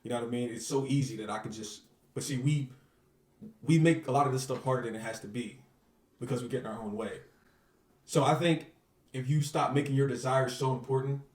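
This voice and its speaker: A man's voice: mid-range voice, darkish tone, recorded from a distance. An earnest man talks in a casual interview, circling back over his points and checking in with the listener. He sits back from the microphone, and the room throws an echo around his voice. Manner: earnest, repetitive, uses filler phrases. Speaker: manifestation coach, guest.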